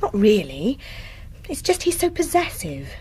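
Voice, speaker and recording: A friendly, flirtatious nurse in a radio play. Her intonation swings widely at a middle pitch, over faint ambience. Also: huge pitch swings, unhurried, mellow, a little hiss or hum. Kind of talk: radio drama acting.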